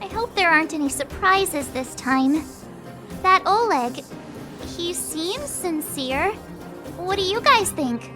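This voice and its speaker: A woman voicing a perky, outspoken, slightly skittish teenage adventurer in a video game, chatty and expressive. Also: very high-pitched, slow pace, music in the background, exaggerated voice. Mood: chatty, concerned. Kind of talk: English game voice acting.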